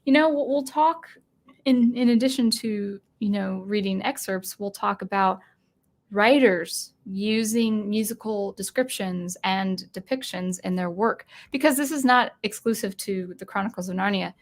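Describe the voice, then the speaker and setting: A woman's voice: mid-range voice, measured pace. A woman hosting a casual livestream chat, talking off the cuff with fillers and restarts, at a desk mic in a home studio. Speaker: livestream host.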